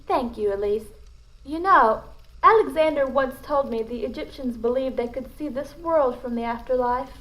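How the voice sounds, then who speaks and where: A woman acts out a poised lady in an audio drama with a high, light voice. She is cool and composed, speaking formally with an arch edge. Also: normal speed, fairly bright tone, poor audio. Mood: cool, polite. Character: poised, cool woman guiding relatives through a will reading.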